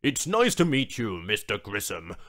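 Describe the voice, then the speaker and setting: A man's voice: on the low side, wildly swooping pitch, moderate pace, pushed and bright, exaggerated voice. A man voicing an eager, rambling, sycophantic corporate employee in an audiobook story. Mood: chatty. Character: chatty, sycophantic male office suit.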